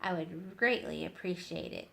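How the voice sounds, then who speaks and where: A woman reading a story aloud haltingly, with casual asides. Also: fairly low pitch, vocal fry, sharp tone. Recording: echoey room.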